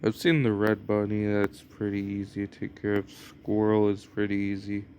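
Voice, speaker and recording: A man's voice: on the low side, level tone, moderate pace, darkish tone, slight background noise. A young American man casually commenting on a mobile game he is playing, rambling and hesitant with lots of fillers. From United States. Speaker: gameplay commentator.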